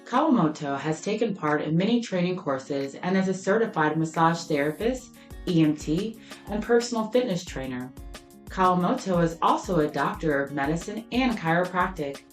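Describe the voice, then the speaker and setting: A woman's voice: fairly low pitch, animated, soft background music. Woman reading a spotlight in an echoey room. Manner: measured, read from a script. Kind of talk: scripted narration.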